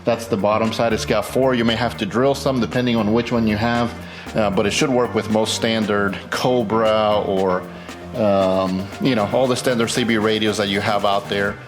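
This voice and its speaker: Man, fairly deep voice, casual tutorial narration, informal, talks through each step as he works, clear background music. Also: fairly even intonation, normal speed. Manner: informal, thinking aloud as he works. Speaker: host of a car modification tutorial. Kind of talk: casual hands-on how-to narration while working.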